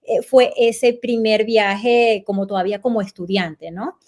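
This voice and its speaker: A Venezuelan woman in her forties, a journalist being interviewed, recalling her path in a reflective storytelling way and pausing to search for words. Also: mid-range voice, fairly even intonation, darkish tone. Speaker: guest journalist. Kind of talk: relaxed conversational interview.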